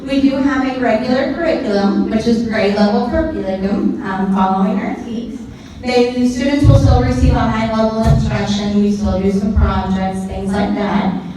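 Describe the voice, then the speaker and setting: A woman's voice: on the high side, moderate pace. Echoey, projecting woman presenting from afar. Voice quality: loud, projecting voice. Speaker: middle school math teacher. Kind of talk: informal presentation to parents. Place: large echoing indoor room with ambient noise. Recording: echoey hall from far off, faint noise.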